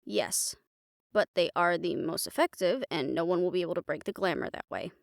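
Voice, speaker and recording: A level-headed, protective young heroine of a fantasy audio drama played by a woman. Her voice is lowish with plenty of fry, and she talks in a measured, soothing way. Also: animated, no room sound, studio quality. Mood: calm, reassuring. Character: calm, sensible, gently protective young woman. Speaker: character in fantasy audio roleplay. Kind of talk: dramatic audio roleplay acting.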